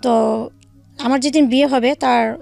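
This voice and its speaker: High-pitched Bangladeshi woman, slightly creaky voice. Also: fairly even intonation, moderate pace. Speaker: guest telling her own life story. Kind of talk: personal storytelling in a radio interview. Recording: faint music bed under the voice. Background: soft background music.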